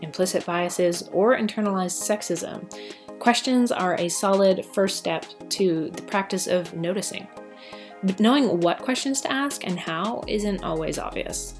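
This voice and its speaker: Lady podcast host, lowish voice, soft music under it. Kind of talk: scripted intro then interview questions.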